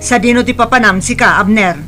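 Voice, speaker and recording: A woman's voice: fairly high pitch, fairly quick, music in the background, lightly acted. A woman voicing a harsh, strict middle-aged mother who speaks in a scolding, dismissive way.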